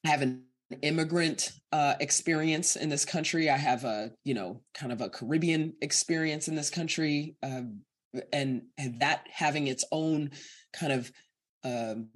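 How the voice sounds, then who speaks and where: A woman's voice: low-pitched, unhurried. An American woman speaking as a podcast guest, earnest and emphatic, laughing lightly between points in candid conversation. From United States.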